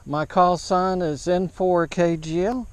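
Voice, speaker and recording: A man narrating an outdoor setup vlog as an amateur radio operator, in a halting, matter-of-fact way. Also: high voice, steady tone, clear, resonant tone, dry recording. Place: large open grassy park field, outdoors.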